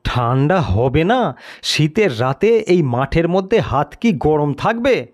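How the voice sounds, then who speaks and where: A man's voice: a little high, sing-song, slow pace. A man voicing an anxious passenger in an audio story, a polite fellow who is easily made huffy and protesting. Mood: indignant. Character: indignant, anxious male night-time cart passenger telling his tale. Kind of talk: dramatised audio storybook character.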